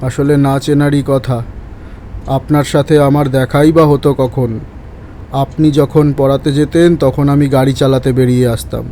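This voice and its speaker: An actor playing a mysterious middle-aged taxi driver, a father speaking calmly and evasively in an audio ghost story. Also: mid-range voice, slight background noise, close to an everyday voice. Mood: calm, knowing.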